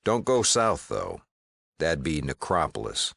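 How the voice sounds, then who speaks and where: Gruff small-town frontier mayor and shopkeeper for a game: American, bottom-of-the-range bass, no-nonsense, folksy, close dry sound. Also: male voice, huge pitch swings, a little slow, a bit bright, no room sound, heavily acted. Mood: dry, welcoming. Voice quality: deep, gravelly. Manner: folksy drawl, wry, no-nonsense. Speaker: video game character. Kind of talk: video game dialogue acting.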